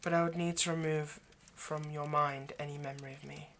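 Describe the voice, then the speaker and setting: Low-key pleading male vampire, acted by a man, noisy recording. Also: flat delivery, creaky, a bit bright. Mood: resigned, sad. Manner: halting, earnest, pleading, dropping to near-whisper. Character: male vampire, ageless, possessive yet remorseful, pleading lover. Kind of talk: intimate ASMR audio roleplay monologue.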